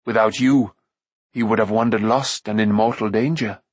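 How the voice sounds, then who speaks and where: A man's voice: fairly low pitch, steady tone, slightly rough, no room sound. Man voicing a grave, noble warrior prince. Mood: wise counsel. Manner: measured, solemn, gentle.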